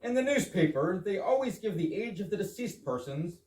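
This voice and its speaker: A man's voice: sits high, very animated, fairly quick, darkish tone. A man playing a fussy, pompous, absurdly opinionated English husband in a staged reading of an absurdist comedy. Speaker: amateur actor in a readers theater. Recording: roomy, distant, noisy live stage recording.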